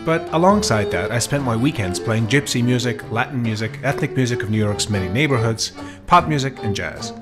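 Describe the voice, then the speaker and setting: A man from New York narrating a scripted voice-over about his band in a measured, even way. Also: mid-range voice. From grew up in New York City. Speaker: bandleader and composer. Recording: voice with loud music behind.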